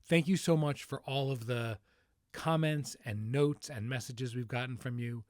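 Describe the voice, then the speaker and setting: A man with a medium-pitched voice speaks as a podcast host. He addresses listeners informally, and the recording is very dry and close. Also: measured pace, darkish tone, dry recording.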